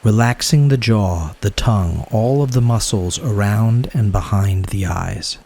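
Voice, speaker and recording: A man with a fairly low, dark and relaxed voice leads a guided meditation. His tone has a husky, breathy grain. He gives soothing instructions in short phrases and never hurries them. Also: a little slow. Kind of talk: guided yoga nidra meditation.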